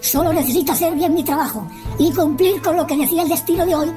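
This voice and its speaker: A woman's voice: high voice, fast talker, voice pushed up, lightly acted. A woman voicing a menacing, imperious ghostly female reaper in a radio drama, threatening and commanding. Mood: scornful, stern. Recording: music behind the voice.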